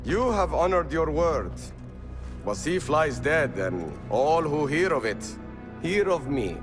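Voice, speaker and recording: An actor playing a solemn, defiant rebel leader in a game cutscene. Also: male voice, a little high, sing-song, slow, over music. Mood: proud, grave.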